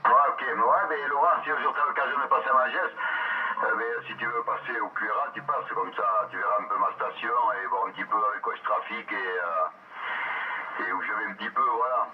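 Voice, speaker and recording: A man's voice: medium pitch, a little fast. A man talking informally over two-way radio as a hobby operator. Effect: two-way radio transmission. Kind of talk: casual CB radio chat. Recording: tinny distant CB radio, faint static noise.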